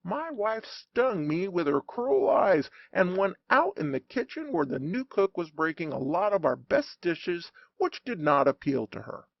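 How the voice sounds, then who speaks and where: A man narrating a humorous audiobook in an animated, wry storytelling manner. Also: high voice, measured pace, vocal fry, few pauses, dry recording, highs cut off.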